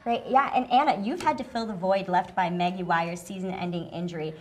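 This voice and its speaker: A woman's voice: lowish voice, a little fast, a little mellow, slight background noise. A young woman hosting a student sports show from a studio desk, reading her lines upbeat on a lapel mic. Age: young adult. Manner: upbeat, reads from a script. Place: small TV studio set, indoors.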